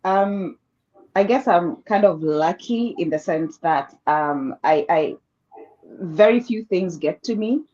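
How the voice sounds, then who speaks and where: A woman panelist speaking in a relaxed, self-assured way with frequent fillers, over a video call. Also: fairly low pitch, slow pace. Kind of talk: casual panel discussion. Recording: dull lo-fi call audio.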